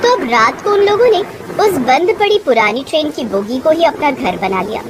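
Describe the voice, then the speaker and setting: A woman's voice: high voice, sing-song, moderate pace, background noise. A woman narrating a scripted moral story as a storyteller.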